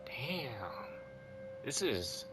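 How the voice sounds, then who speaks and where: A man giving casual, quiet reaction commentary on a video game playthrough, muttering as he goes. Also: medium pitch, sing-song, very slow. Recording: game music behind the voice.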